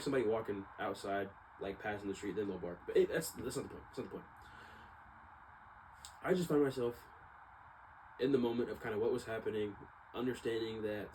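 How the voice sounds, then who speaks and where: Low-voiced young guy, noisy background. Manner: informal slangy talk, circling back and trailing off. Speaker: vlogger. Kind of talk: casual rambling personal monologue. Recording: clearly noisy background ambience.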